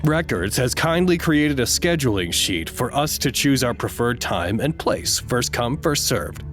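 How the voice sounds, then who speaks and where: A man acting in an audio drama as a pompous, needy staff lecturer who talks in a wordy, theatrical way. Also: medium pitch.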